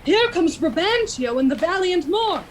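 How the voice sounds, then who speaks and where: A woman playing a grave Venetian senator in a Shakespearean radio play, speaking formally and deliberately. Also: extremely high pitch, very animated. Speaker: amateur actor in an online radio play. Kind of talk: Shakespearean verse acting for radio. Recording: faint background noise on online call.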